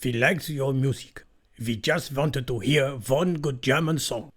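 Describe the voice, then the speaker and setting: A man playing a stern, commanding wartime officer in an amateur audio drama, with a gravelly, husky voice. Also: medium pitch, measured pace, a bit bright. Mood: firm.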